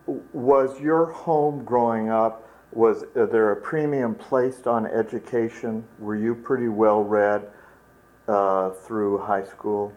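A man conducting an oral history interview, introducing it formally and asking measured questions. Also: lowish voice, fairly even intonation, a little mellow, muffled recording.

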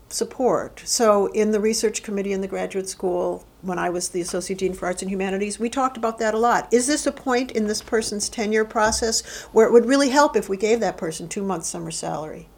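A middle-aged woman speaks in an interview with a mid-range voice, thinking aloud and often restarting her sentences. She talks about her work plainly and deliberately. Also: medium pitch. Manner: thoughtful, halting, with frequent restarts and fillers. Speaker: interviewee, university faculty administrator. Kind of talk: oral history interview.

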